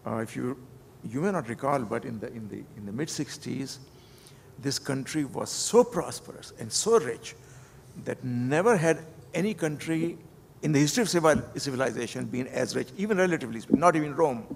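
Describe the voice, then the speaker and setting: Older man with gravelly voice. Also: mid-range voice, lively intonation. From Pakistan. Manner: halting, many repeated words and restarts. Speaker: panel speaker. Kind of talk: unscripted personal reflection at a panel. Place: conference hall. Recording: long hall reverb with faint noise.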